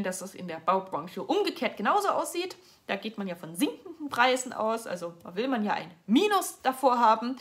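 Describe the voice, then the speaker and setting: A young woman from Germany giving casual, sarcastic news commentary on a livestream from her sofa, chatty and often restarting her sentences. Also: mid-range voice. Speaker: political vlogger. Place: indoors at home, seated on a sofa.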